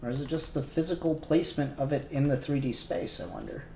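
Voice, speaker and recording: Gravelly man muttering through a livestream. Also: a little high, fast, a little mellow, slight background noise. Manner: muttering to himself, trailing off. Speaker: livestream co-host. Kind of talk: casual livestream chat while working. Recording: echoey room.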